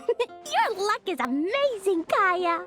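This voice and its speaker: Tiny cheerful game companion girl: extremely high, squeaky, wildly animated delivery. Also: slow pace, faint music behind, full character voice. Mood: excited, friendly. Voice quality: squeaky, childlike. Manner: excitable, chirpy. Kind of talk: video game voice acting.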